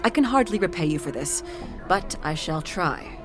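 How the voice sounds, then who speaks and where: Casting: actress as dignified, grateful fantasy noblewoman, low register, formal and solemn, music underneath. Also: animated, normal speed, close to an everyday voice. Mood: solemn gratitude. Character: grateful, dignified wronged noblewoman, just freed. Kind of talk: audio drama acting.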